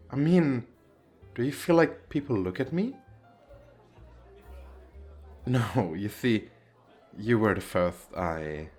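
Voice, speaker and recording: A man's voice: fairly low pitch, darkish tone. A man acting a shy, nervous, wealthy businessman on a speed date in an ASMR roleplay, talking in a hesitant, rambling, self-deprecating way. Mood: nervous, candid. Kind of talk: ASMR roleplay, intimate flirty chat.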